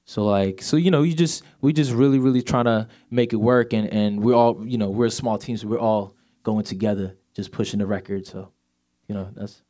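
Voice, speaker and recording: Guest singer, Swedish-born man in his 20s, low voice, light accent, easygoing and filler-heavy. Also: steady tone, brisk, dark tone. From Sweden. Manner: laid-back, many fillers like "you know". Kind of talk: casual interview chat.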